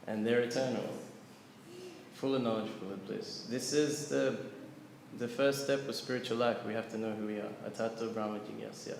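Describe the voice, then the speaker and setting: A man's voice: on the low side, fairly even intonation, normal speed, gravelly, slight background noise. A man teaching a devotional scripture class to a live audience, explaining earnestly and putting questions to listeners. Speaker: lecturer on scripture. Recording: echoey hall with long reverb, faint ambience.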